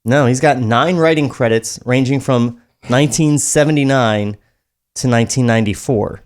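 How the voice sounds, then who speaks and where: A man's voice: mid-range voice, moderate pace. A man hosting a comedy podcast, talking casually and matter-of-factly. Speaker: podcast host. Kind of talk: casual comedic chat, reading script aloud.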